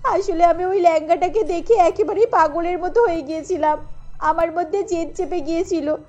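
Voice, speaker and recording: A woman's voice: extremely high pitch, normal speed, a little mellow, highs cut off, faint music behind. A woman playing a proud, headstrong young bride-to-be in a cartoon, with a girlish, pointed manner. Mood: guilty. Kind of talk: cartoon voice acting.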